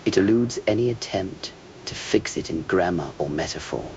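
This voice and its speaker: A man delivering a scripted meditative monologue in a measured, contemplative way, with hushed phrase endings that trail toward a whisper. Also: sits low, animated, lo-fi sound, a little hiss or hum.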